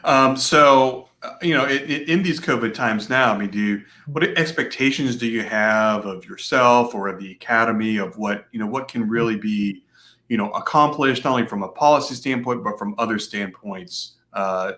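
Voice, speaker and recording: Bright-voiced American man hosting an interview. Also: lowish voice, normal speed. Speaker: interview host, state chapter president. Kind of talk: casual moderated conversation.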